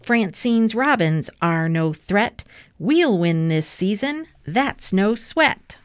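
A woman's voice: mid-range voice, expressive, slow pace, no room sound. Woman as a peppy, chanting young cheer girl. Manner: rhythmic, chanting.

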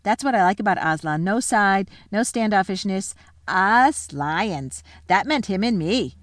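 A woman voicing an excitable, proud male lion who boasts eagerly over and over. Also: mid-range voice, unhurried, no room sound, studio quality, natural voice. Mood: thrilled.

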